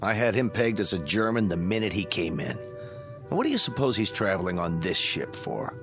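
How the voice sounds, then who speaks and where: A man's voice: lowish voice, sing-song, moderate pace, music in the background. An actor in a radio drama playing a chatty American government man, blunt and folksy, with an American accent. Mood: nosy.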